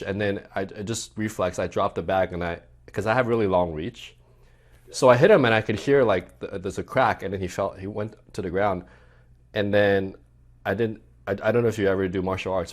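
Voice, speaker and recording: Deep-voiced casual podcast host. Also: male voice. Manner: relaxed, curious, chatty. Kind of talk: casual interview and storytelling.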